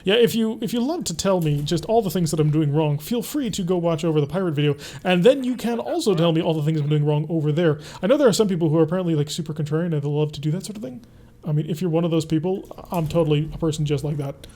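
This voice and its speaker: A man's voice: fairly high pitch, fast, mellow. Chatty young male game streamer. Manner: chatty, reads and answers chat while playing. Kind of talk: casual gameplay commentary.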